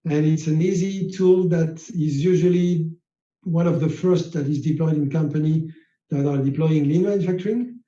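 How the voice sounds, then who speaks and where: A man whose first language is French gives a slide lecture on manufacturing, speaking haltingly with many fillers. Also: fairly high pitch, steady tone, warm tone, roomy sound, muffled recording.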